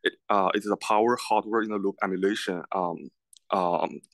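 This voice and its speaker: Low-voiced young man guiding a tour. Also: steady tone, a bit bright, no room sound. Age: young adult. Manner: halting, with repeated words. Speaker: graduate student tour guide. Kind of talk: informal guided lab tour. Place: large open indoor lab.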